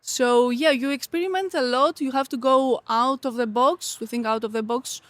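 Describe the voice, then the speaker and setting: A woman's voice: high voice, normal speed, a bit bright, no room sound. A woman from Greece with a Greek accent, a native Greek speaker answering interview questions casually and a little hesitantly in her second language. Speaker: interview guest, coffee competitor.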